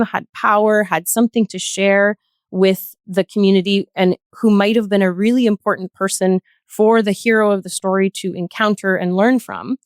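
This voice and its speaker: A woman speaking as a podcast guest in a thoughtful, conversational discussion, explaining things carefully and pausing to find her words. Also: medium pitch, no echo, studio quality.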